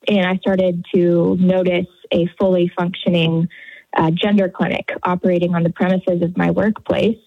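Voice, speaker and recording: A woman in her thirties with an American accent, giving an earnest, halting personal account as a radio interview guest. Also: on the low side, little pitch movement. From Houston area, Texas, United States. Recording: narrow phone-like line, roomy echo.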